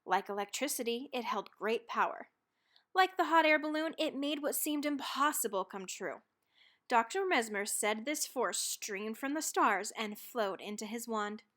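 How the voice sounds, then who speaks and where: Bright, high-voiced woman reading a storybook. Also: animated. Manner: dramatic, with stressed words and pauses. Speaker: read-aloud narrator of a children's book. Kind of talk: expressive scripted storybook reading.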